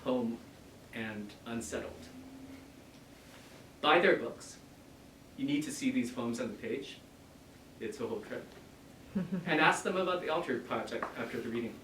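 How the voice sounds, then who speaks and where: Man, measured pausing delivery, prepared lyrical introduction, strong room echo, faint noise. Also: medium pitch, lively intonation, fairly bright tone. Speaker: introducer at poetry reading. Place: bookshop reading event. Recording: echoey room, distant mic, faint noise.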